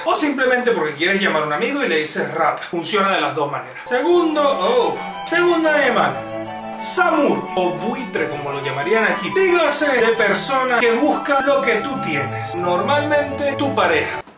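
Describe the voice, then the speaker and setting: A young man with a Venezuelan accent, explaining things comedically to camera in an animated, theatrical manner with exaggerated emphasis. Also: extremely high pitch. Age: young adult. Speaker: video blogger. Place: small living room, indoors. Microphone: camera a short distance away. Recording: roomy, distant microphone, music behind. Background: music.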